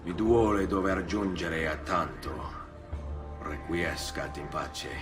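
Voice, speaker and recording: An actor playing a cool, resolute Italian assassin in a video game, speaking in a measured, quietly confident way with an Italian accent. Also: male voice, deep voice, over music. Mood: solemn. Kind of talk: dramatic game dialogue.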